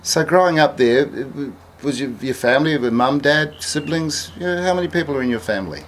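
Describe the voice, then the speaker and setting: A man's voice: fairly high pitch, expressive, a little fast, a little hiss or hum. A male interviewer asking friendly questions at a player's home, often restarting his words. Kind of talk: casual interview.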